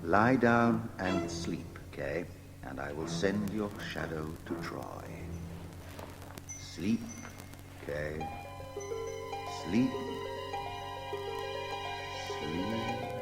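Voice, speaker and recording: An actor playing a mysterious, cautioning man who can send others through time, with a measured, ominous delivery in an audio drama. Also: low voice, animated, full character voice. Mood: hushed, hypnotic. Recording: music under the voice.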